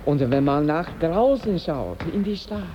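Earnest male friend in a radio play, reproachful, pitch moves a lot, muffled sound. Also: sing-song, measured pace, noisy background. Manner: insistent, reasoning. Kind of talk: radio drama dialogue.

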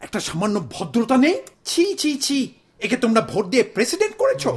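A man's voice: extremely high pitch, very animated, fast. A man acting in a radio play as a self-important, indignant middle-aged Bengali father who declaims and hectors like a zealous protester.

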